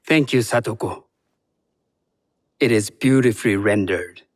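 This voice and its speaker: A man playing a courteous, dignified tea master in a video game, with a formal, gracious and measured manner. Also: on the low side, close to an everyday voice. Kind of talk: video game cutscene acting.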